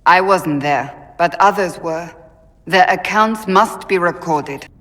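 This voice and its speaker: An actress playing a stern, earnest, devout warrior woman in a video game, speaking formally and with measured care. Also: lowish voice, fairly bright tone, in a large hall. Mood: solemn, reflective. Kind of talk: video game cutscene acting.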